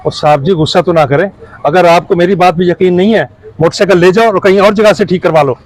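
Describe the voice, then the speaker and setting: Guy acting as shady bike mechanic, high voice, slick talker, bosses people about, moral story skit. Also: fast, dry recording, low-quality recording. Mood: insistent, put-upon. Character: crooked, glib motorbike mechanic, shop boss.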